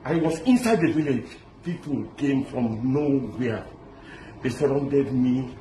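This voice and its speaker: A middle-aged man from Lebanon telling his story in an animated, halting way, restarting often and mixing in pidgin words. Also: mid-range voice, slight background noise. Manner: excited, halting, many restarts. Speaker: interviewee recounting a kidnapping. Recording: echoey with loud street ambience.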